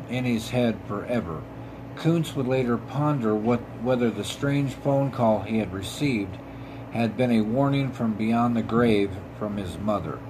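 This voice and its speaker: A man with a mid-pitched voice narrates paranormal tales as a podcast host. He reads from a script haltingly, tripping on words and starting them again. A clear, steady hum of room noise sits behind him. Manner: halting reading, stumbling and repeating words.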